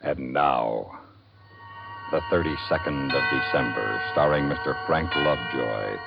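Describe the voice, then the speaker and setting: A man announces an old suspense series in a dramatic style. His voice is extremely deep and gravelly, over loud music. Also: unhurried. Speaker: radio show announcer. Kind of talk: dramatic 1950s radio announcing. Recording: dull old radio recording, loud music.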